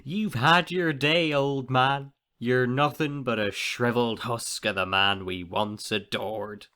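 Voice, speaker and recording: An actor playing a proud young upstart captain with an in an audio play. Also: male voice, medium pitch, steady tone, slow, sharp tone. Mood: taunting. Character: cocky, scornful young upstart male pirate captain. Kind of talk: theatrical audio play acting.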